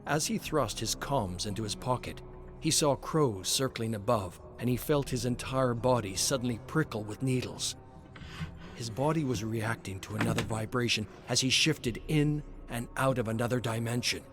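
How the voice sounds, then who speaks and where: A man's voice: mid-range voice, normal speed. A man reading scripted, dramatic horror narration. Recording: music under the voice. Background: music.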